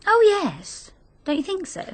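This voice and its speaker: An actress playing a sly, flippant, languid society wife with a British accent in a radio mystery. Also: female voice, extremely high pitch, huge pitch swings, measured pace, full character voice. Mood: airy, teasing. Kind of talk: radio mystery drama acting.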